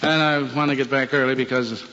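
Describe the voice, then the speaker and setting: An actor with an American accent playing a proud, blustering, middle-aged widowed policeman in an old radio drama, speaking haltingly and folksily. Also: mid-range voice, fairly even intonation, lightly acted. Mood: subdued, resigned. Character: gruff, proud, flustered widowed policeman father. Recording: dull vintage recording, faint noise.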